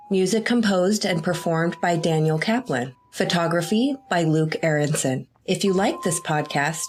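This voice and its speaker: A woman with a fairly low voice reads a scripted podcast outro. Faint music plays behind her as she announces the credits. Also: darkish tone.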